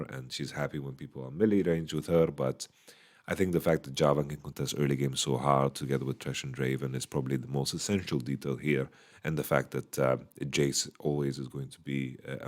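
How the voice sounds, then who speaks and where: Very deep-voiced man, slightly hoarse. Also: steady tone, a little fast. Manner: casual, many fillers, thinking aloud. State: voice going a bit hoarse. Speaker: esports analyst. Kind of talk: solo recap commentary. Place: small hotel room. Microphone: studio microphone.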